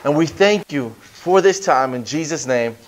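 Energetic pastor. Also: male voice, fairly high pitch, unhurried. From Brooklyn, New York. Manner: energetic, conversational, stumbles and restarts. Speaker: guest pastor. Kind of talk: sermon with prayer and scripture reading. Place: small church stage with brick walls. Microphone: handheld microphone.

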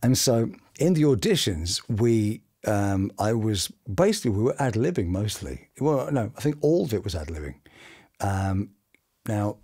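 British man, middle-aged, lowish voice, very lively intonation, expressive storyteller, casual interview. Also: moderate pace. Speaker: voice actor guest. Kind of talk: animated anecdote in a casual interview. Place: small home studio, indoors.

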